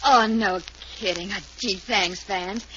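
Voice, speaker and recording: A woman's voice: mid-range voice, a little slow, fairly bright tone, natural voice. An actress playing a brassy, defiant nightclub singer and gangster's girlfriend in an old radio detective drama. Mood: coy, grateful. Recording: noisy, muffled old radio recording.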